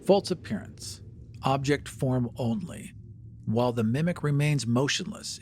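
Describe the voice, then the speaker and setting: A man with a husky voice speaking as a podcast panelist, in informal talk or reading aloud. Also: mid-range voice, darkish tone. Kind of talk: casual chat and reading aloud.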